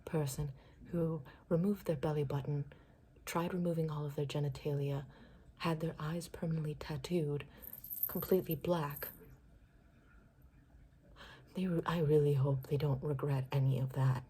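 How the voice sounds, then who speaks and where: A woman's voice: low-pitched, fairly even intonation, normal speed, pauses often, dark tone, slightly rough. A woman doing an ASMR roleplay as a makeover stylist, murmuring repetitive, reassuring step-by-step instructions in a personal-attention style.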